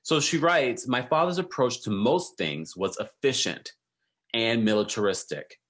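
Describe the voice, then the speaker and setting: A man's voice: medium pitch, expressive, measured pace, fairly bright tone. A man hosting an online video, chatting casually and conversationally straight to his viewers. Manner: conversational, addresses viewers directly.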